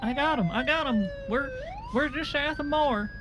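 A man's voice: extremely high pitch, normal speed. A man playing a timid, eager, dim Southern deputy, stammering apologetically in a comic game roleplay. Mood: eager, nervous. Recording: sirens and vehicle noise behind. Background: police sirens, game vehicle noise.

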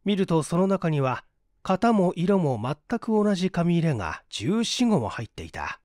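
An audiobook narrator reading literary fiction expressively, with measured, careful diction. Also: medium pitch, sing-song, a little mellow, no echo, studio quality.

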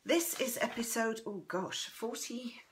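A woman's voice: on the high side, measured pace, slight background noise. A woman in her forties, an author hosting a live reading from home, chatting effusively with a slightly throaty, strained voice and greeting viewers by name. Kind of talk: casual livestream chat. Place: home room, indoors. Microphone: phone propped in front of her.

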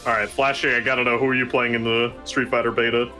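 A man's voice: mid-range voice, flat delivery, quick pace, music in the background. A man co-commentating a fighting game tournament with casual banter.

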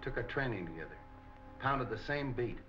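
Through a dull 1940s film soundtrack comes a gruff, hard-boiled American police captain, played by a male actor. He is middle-aged, with a mid-pitched voice and a curt, determined way of talking. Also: expressive, moderate pace, over music, close to an everyday voice. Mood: grim, determined. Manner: curt, brusque.

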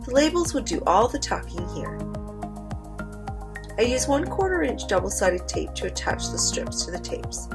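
A woman's voice: a little high, big pitch swings, normal speed, creaky, over music. A woman presenting an instructional craft voice-over, explaining things step by step. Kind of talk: instructional voice-over narration of a card-making demo.

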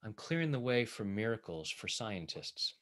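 A man working as a tapping coach, leading guided affirmations in short, measured phrases meant to be repeated. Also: lowish voice, sing-song, a bit bright.

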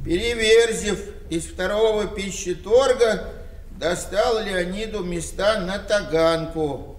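An older man acting a meek, plain-spoken, slightly muddled old village father in a Soviet radio play, with halting delivery. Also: sits high. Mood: dutiful, literal. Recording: roomy, distant, faintly noisy radio-play recording.

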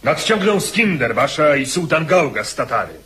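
Radio drama: excited soldier, very husky, lively pitch, battle report. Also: male voice, normal speed, heavily acted. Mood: excited, forceful.

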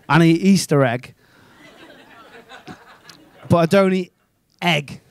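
Man in his thirties, high-voiced stand-up, crude and incredulous, live in a small comedy club on a handheld mic. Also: slow pace, a little hiss or hum. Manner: animated, crude, ranting and incredulous.

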